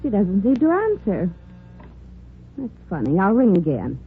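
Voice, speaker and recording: A lively, proper hotel desk clerk. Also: female voice, medium pitch, measured pace, slightly rough, heavily acted. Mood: courteous. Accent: American. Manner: formal, courteous, precise. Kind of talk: 1940s-50s radio drama acting. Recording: tinny vintage radio recording, music behind.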